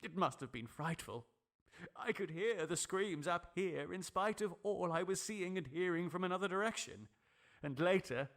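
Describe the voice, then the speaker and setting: A man's voice: on the high side, fairly bright tone. A man voicing a crazed, gloating, obsessed scientist with theatrical, emphatic menace in an audiobook horror story. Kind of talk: dramatic audiobook character acting.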